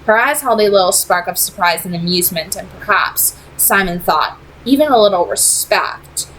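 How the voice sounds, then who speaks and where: A woman's voice: medium pitch, lively intonation, moderate pace, fairly bright tone, slight background noise, poor audio. A woman narrating fiction as an amateur book reader. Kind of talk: read-aloud fiction narration.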